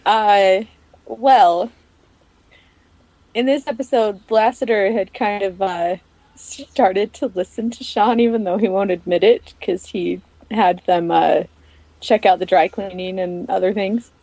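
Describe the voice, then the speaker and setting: A woman co-host speaks at a medium pitch, recorded dry with no room sound. Her delivery is casual and a little tentative, working out her thoughts as she talks. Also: fairly even intonation, a little slow, warm tone, no room sound, muffled recording. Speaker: podcast co-host. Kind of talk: casual TV recap chat with friends.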